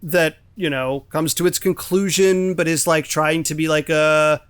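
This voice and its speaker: A man's voice: a little high, fairly even intonation, a little slow, a bit bright, smooth, dry recording. A man co-hosting a podcast, discussing films casually and informally, with some swearing. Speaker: podcast co-host.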